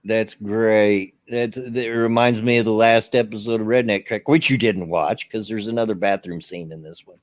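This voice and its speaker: A middle-aged man with a Southern US accent hosting a casual livestream chat from his bedroom, loud and carrying, laughing often. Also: fairly low pitch, fairly even intonation, normal speed, no echo. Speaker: host. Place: bedroom at home.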